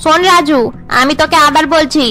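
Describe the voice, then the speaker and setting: A woman voicing an angry, nagging mother in a melodramatic cartoon, shaming her son with sharp reproach. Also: high voice, fairly even intonation, brisk, soft background music. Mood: scornful, threatening. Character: angry, scolding, status-obsessed mother of a failing son. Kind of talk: melodramatic Bengali cartoon dubbing.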